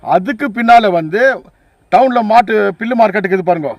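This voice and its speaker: A man's voice: high voice, brisk, no room sound, dull audio. An older man reminisces casually in an interview as a veteran sound operator, deferential and repetitive as he lists things from memory. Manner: deferential, often addressing listener as sir.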